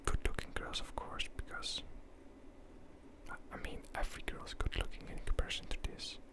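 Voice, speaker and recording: A young man talks on and on in a soft whisper, the way ASMR creators do. His chat is loose and crude, full of swearing and filler. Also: a little fast. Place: at home.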